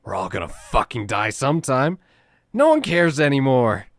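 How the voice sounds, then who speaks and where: A man voicing a sinister, jaded killer with a nihilistic streak, improvised as dark comedy roleplay. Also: mid-range voice, wildly swooping pitch, normal speed. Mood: menacing, bitter. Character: sinister, jaded male killer confessing cheerfully.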